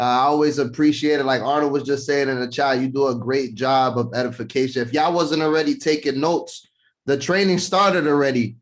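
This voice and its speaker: Casting: man in his thirties from Puerto Rico, chatty vice president type on a video call, mid-pitched voice, relaxed conversational delivery full of fillers. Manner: conversational, filler-heavy, frequent "right?". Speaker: company vice president giving a training. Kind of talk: casual motivational talk on a video call.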